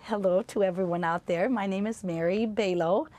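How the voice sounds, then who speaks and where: A woman's voice: mid-range voice, normal speed, a little mellow. An older woman, a college instructor, introducing herself and her work informally. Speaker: college biology and anatomy instructor. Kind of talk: informal self-introduction to camera.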